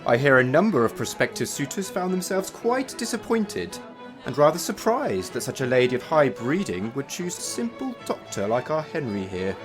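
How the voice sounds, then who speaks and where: A man's voice: mid-range voice, sing-song, normal speed, over music, natural voice. Man playing a jovial, swooping Victorian gent. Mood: teasing. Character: jovial, teasing Victorian doctor, bachelor friend. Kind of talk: theatrical period drama acting.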